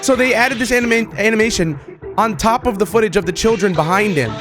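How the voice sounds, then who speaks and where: A man hosting a reaction video, talking casually to viewers with excited, repeated exclamations. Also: high voice, fast talker, over music. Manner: casual, repetitive exclamations, addresses viewers familiarly. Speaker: video host reacting to game clips.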